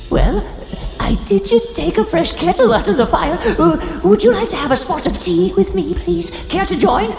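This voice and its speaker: A lonely, coy gorgon hostess for a tabletop game. The voice is very high and creaky, hesitant and theatrical, full of gossip and giggles. It rings in an echoing hall over music. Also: female voice, expressive, moderate pace, a little mellow, heavily acted. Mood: fussily hospitable. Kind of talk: improvised tabletop roleplay. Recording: echoey hall, music underneath.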